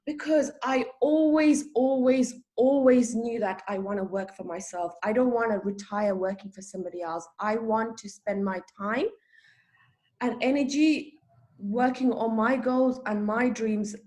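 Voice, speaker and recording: A young woman from Sri Lanka answers interview questions in a loose, chatty way. She is candid and quick to laugh at herself. Her voice sits a little high. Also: darkish tone. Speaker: interview guest. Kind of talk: casual interview.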